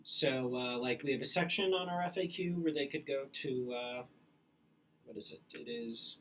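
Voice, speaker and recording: A man co-hosting a live online Q&A talks casually, stopping often on "uh" while he finds his words. His voice sits somewhat high for a man. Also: expressive, gravelly, sharp tone, a little hiss or hum. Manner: casual, halting, many "uh"s. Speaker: company staff host answering questions. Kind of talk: live stream Q&A.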